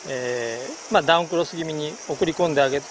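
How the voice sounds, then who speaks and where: A middle-aged man talking casually while fishing a river outdoors, pausing often with fillers. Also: fairly high pitch, background noise. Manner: relaxed, many hesitation fillers. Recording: loud background music outdoors.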